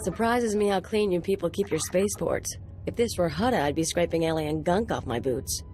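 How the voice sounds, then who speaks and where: A woman's voice: on the low side, fairly quick, over music, lightly acted. An actress playing a cocky, sardonic young female sidekick in a video game, wry and casual. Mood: wry, teasing. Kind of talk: scripted game cutscene dialogue.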